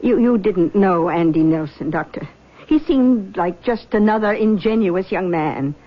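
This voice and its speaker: A woman's voice: medium pitch, measured pace, lightly acted. An actress playing a fretful, insistent, superstitious mother in a radio drama, with an earnest, fussing, theatrical delivery. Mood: earnest, ominous. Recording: muffled old radio broadcast.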